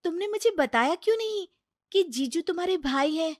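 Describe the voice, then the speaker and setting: Woman playing anxious young sweetheart, high and plaintive, earnest, family moral tale. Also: expressive, moderate pace, dry recording, clean studio sound. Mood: upset. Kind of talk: Hindi family moral story dramatization.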